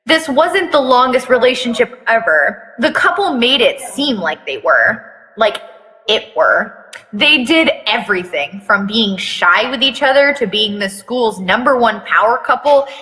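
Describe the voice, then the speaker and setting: A woman's voice: on the high side, pushed and bright, big-room sound, poor audio. A woman giving a theatrical, mocking dramatic reading of a story, breaking off for sarcastic asides. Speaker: fan fiction reader on a live video.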